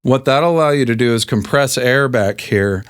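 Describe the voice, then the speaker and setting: Hombre, voz grave, acento sureño leve, presentador de clase de canto, tono suelto con muletillas, timbre limpio y resonante. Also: male voice, moderate pace, smooth. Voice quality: deep speaking voice. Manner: relaxed, self-deprecating, with many fillers and restarts. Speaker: host. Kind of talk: casual singing lesson.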